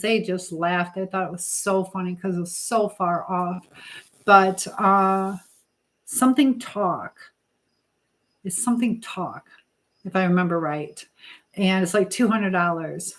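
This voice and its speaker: A middle-aged woman chats casually on a livestream at home. Her voice sits at an average pitch for a woman. She rambles from one thing to the next, reading out and answering comments as she goes. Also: steady tone, a little slow, a bit bright, poor audio. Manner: rambling, chatty, hops between topics and viewers. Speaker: livestream host. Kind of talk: casual live chat, answering viewer comments.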